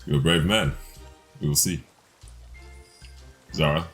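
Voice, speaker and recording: A man's voice: extremely low pitch, takes it slowly, a bit bright. A man playing a tabletop fantasy game in character, speaking in solemn, formal phrases. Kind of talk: in-character roleplay. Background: background music.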